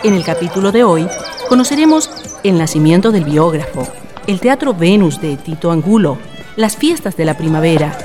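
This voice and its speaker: Over prominent guitar music, a woman narrates a radio drama in a fairly low voice. Her delivery is formal and lyrical, like scripted storytelling for listeners.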